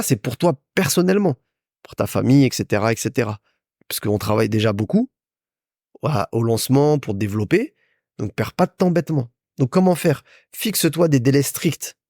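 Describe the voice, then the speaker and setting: A man's voice: mid-range voice, darkish tone, no echo, studio quality. A young man hosting a casual business podcast, talking straight to the listener with lots of repetition and restarts. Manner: chatty, addresses listener directly, repeats himself. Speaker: podcast host. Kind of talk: casual business advice talk.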